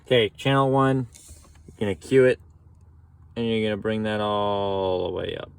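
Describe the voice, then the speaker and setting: A man giving a relaxed, folksy how-to walkthrough from inside a truck. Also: on the low side, normal speed, creaky, clear, resonant tone. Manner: relaxed, folksy explaining with casual slang. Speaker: mechanic demonstrating CB radio setup. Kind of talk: casual how-to narration.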